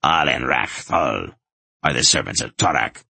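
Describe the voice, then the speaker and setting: A menacing, authoritarian priest from a fantasy audiobook, played by a man. The voice is harsh and very low, questioning others with stern suspicion. The sound is close and dry. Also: expressive, a little slow, vocal fry, pushed and bright, breathy edge, no room sound, exaggerated voice. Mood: stern, suspicious. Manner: imperious, questioning.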